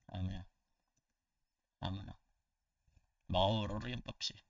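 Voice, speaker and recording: A Filipino man chatting casually on a livestream, telling stories in animated, slangy, swear-laden talk to his viewers. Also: low voice, measured pace, creaky, no echo, recorded from a distance, dull audio. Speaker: gaming streamer. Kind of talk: casual storytelling livestream chat.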